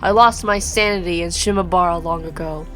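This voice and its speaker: A woman's voice: fairly low pitch, fairly quick, a bit bright, faint music behind, natural voice. Woman reading as a mad, vengeful villain sorcerer. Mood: bitter, vengeful. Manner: theatrical, formal monologue.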